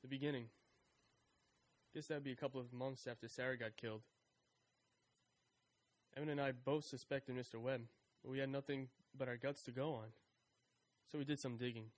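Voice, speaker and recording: A man's voice: mid-range voice, steady tone, normal speed, long pauses, natural voice. A young man voicing a cocky, wisecracking slacker who talks in laid-back slang. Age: young adult. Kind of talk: audio drama acting and narration.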